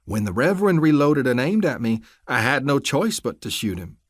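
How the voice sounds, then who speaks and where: A man's voice: medium pitch, sing-song, normal speed, no room sound, studio quality, pitched above the performer's own voice. A man playing a smug drawling deputy. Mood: smug, scornful. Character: scornful corrupt small-town male deputy.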